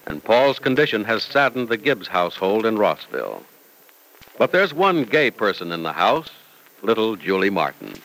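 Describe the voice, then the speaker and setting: A man's voice: on the low side, fairly bright tone, low-quality recording. A man announcing and narrating in a polished, emphatic, scripted vintage radio style.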